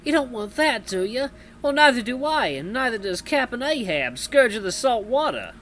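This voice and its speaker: A man's voice: extremely high pitch, sing-song, moderate pace, a bit bright, pitched above the performer's own voice, heavily acted. A man voicing a slimy barracuda pirate mobster in a game, swaggering and mock-polite as a menacing extortionist. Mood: veiled threat. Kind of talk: hammy pirate gangster act.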